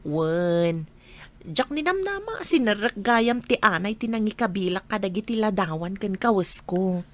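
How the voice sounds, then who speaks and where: A woman's voice: mid-range voice, animated, a little slow, natural voice. A woman acting a weary, bitter single mother in a Filipino audio drama. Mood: regretful.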